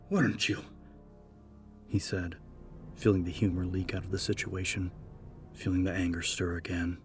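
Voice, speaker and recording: A man reading a horror story as a dramatic scripted audiobook narration, with music playing behind him. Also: low-pitched. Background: game-style background music.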